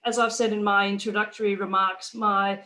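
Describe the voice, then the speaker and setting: A woman's voice: medium pitch, little pitch movement, normal speed, sharp tone. Aussie woman candidate, earnest, lots of ums. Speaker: council candidate. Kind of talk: unscripted town hall answer.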